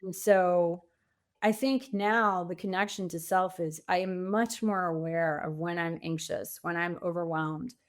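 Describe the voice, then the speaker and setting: A woman's voice: fairly low pitch, fairly even intonation, unhurried. A middle-aged woman speaking as an interview guest, reflective and conversational. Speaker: podcast guest, coach and author.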